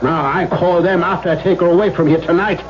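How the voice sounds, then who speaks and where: A menacing, proud older father acted by a man, imperious and quick to threaten. The voice is pitched high for a man, on a muffled old radio drama recording. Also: fairly even intonation, lightly acted. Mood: outraged. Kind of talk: 1970s radio drama acting.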